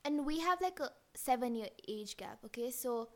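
Young woman, high voice, mixed Kenyan-tinged accent, chatty podcast co-host, giggly. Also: lively intonation, moderate pace, a little mellow, faint music behind. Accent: mixed, Kenyan-influenced. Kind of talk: casual chat.

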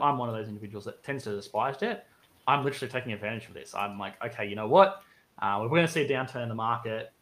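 Expressive man talking things through. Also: medium pitch. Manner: conversational, acts out hypothetical speakers. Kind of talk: casual economics discussion.

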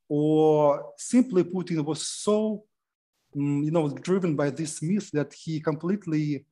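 Man, mellow voice. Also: on the high side. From Ukraine. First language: Russian. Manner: measured, explanatory, often tags "right?". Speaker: guest writer. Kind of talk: interview answer.

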